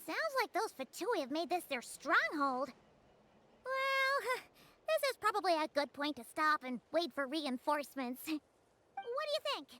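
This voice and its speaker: A woman voicing a small, chirpy, excitable fairy companion in a video game, squeaky and childlike, speaking expressively. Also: measured pace, noisy background, exaggerated voice. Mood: chatty, cautious. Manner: exclamatory, expressive.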